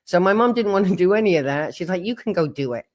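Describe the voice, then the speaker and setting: A man's voice: sits high, lively intonation, fast. A man in his sixties giving plain, frank personal testimony about his childhood. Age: 60s. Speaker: former member telling his story.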